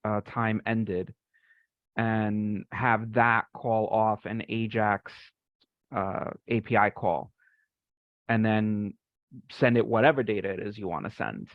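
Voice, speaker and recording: A man's voice: lowish voice, fairly even intonation, takes it slowly, no echo. A man casually explaining something technical on a group call, with a polite, tentative manner. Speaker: participant in a software community call. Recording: dull, band-limited online call audio.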